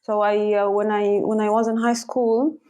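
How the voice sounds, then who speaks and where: A woman from Egypt, a painter answering interview questions in a halting way, with many fillers and restarts. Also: medium pitch, little pitch movement, mellow. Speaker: guest painter.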